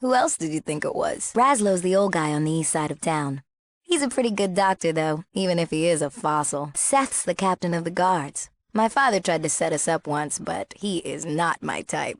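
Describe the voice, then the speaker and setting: A woman's voice: fairly low pitch, no room sound, close to an everyday voice. A young woman with an American accent playing a bored, sassy small-town girl in a video game, casual and sardonic. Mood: matter-of-fact. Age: young adult. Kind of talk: video game character acting.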